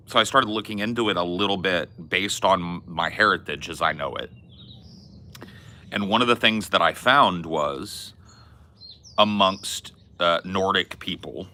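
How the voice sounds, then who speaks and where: A man co-hosting a podcast, telling stories in a rambling, laid-back way with a loud, resonant voice. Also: low voice. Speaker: podcast co-host. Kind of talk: casual chat.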